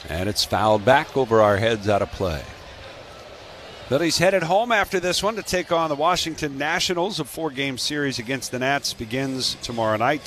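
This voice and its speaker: A man's voice: medium pitch, a bit bright. A man with an American accent calls baseball live on the radio, mixing play-by-play with casual banter. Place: stadium broadcast booth open to the field. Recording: clear outdoor ballpark ambience noise.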